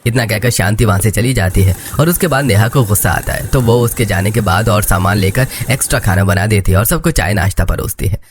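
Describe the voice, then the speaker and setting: A man's voice: fairly low pitch, a little fast, few pauses, background noise. A man narrating a scripted cartoon story as its storyteller.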